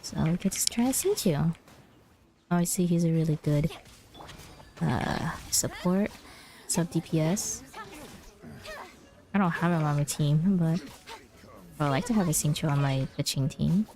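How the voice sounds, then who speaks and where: Low, dark-voiced woman streaming a game. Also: a little slow, pauses often. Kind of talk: casual gameplay commentary.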